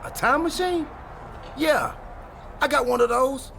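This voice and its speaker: A man playing an excitable, goofy, scatterbrained pro wrestler in a video game cutscene, earnestly delivering absurd ideas. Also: extremely high pitch, unhurried, vocal fry, slight background noise, heavily acted. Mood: proud, matter-of-fact.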